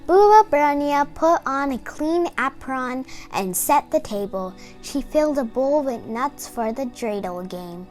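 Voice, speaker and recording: Female children's storyteller, high-pitched and unhurried, lively read-aloud delivery. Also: soft background music.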